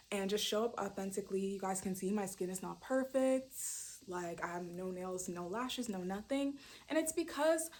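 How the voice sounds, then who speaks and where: Chatty young woman with animated emphasis. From Brooklyn, New York. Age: 20s. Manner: chatty and animated, lots of fillers, sudden loud pitch jumps for emphasis. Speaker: vlogger introducing her channel. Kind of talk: casual direct-to-camera chat.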